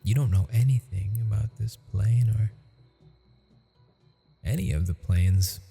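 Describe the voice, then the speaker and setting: A tender, teasing ghost boyfriend, voiced by a man, murmurs in an unhurried, intimate hush. His voice sits low and very warm, mellow rather than bright, as if confiding secrets in an ASMR roleplay. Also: gravelly, breathy edge, faint music behind, full character voice. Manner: soft, intimate, chuckling often. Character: gentle, teasing male ghost lover, centuries-wise.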